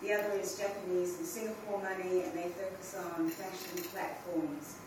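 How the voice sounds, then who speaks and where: Middle-aged New woman, panel speaker, candid and conversational; distant mic in a boomy hall with noise behind her. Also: little pitch movement, normal speed. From New Zealand. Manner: conversational, direct, restarts phrases. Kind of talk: informal conference talk. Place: large conference hall. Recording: very echoey hall from far off, with ambient noise.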